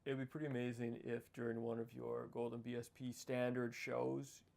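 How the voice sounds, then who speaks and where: A man with a very gravelly, fry-heavy voice hosts a relaxed interview. He keeps the guest going with quick, encouraging affirmations and easy follow-up thoughts. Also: mid-range voice. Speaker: interviewer host. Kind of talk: casual interview.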